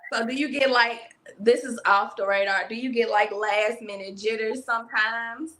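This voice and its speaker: A woman's voice: fairly high pitch, steady tone, moderate pace, fairly bright tone, slight background noise. A woman hosting a livestream interview, asking questions and talking to the chat in an upbeat way. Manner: upbeat, addresses the chat directly. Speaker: interview show host.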